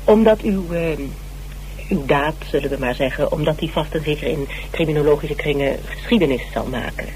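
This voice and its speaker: A man's voice: a little high, brisk, a little mellow, close to an everyday voice, rough recording. An actor playing an eager, fawning male criminology lecturer who hesitates and repeats himself in a radio drama. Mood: earnest, hesitant. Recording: hissy old radio recording.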